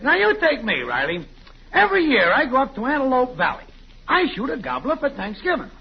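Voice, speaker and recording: A bragging, sly neighbor with an extremely high voice that swoops wildly up and down. He needles and wisecracks in 1940s radio comedy fashion. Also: huge pitch swings, fairly quick, breathy edge, full character voice. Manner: boastful, needling, exclamatory. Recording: muffled old-time radio recording, faint hiss.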